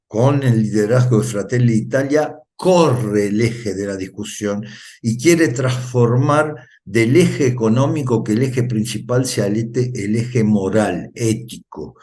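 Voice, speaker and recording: A man's voice: fairly low pitch, darkish tone. A man who grew up in Italy, a guest on a video call, giving emphatic political commentary with repeated phrases and frequent fillers. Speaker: interview guest.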